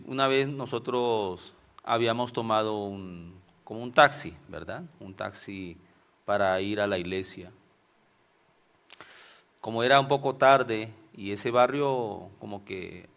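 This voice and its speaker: Gravelly Venezuelan man preaching. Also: fairly low pitch, fairly bright tone. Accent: Venezuelan Spanish. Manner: conversational, often ends phrases with tag questions. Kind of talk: informal sermon with personal anecdotes.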